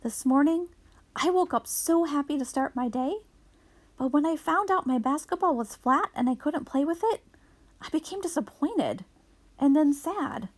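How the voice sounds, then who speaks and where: A woman voicing an earnest, easily upset young ninja child from a picture book, expressive and questioning. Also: high-pitched, moderate pace.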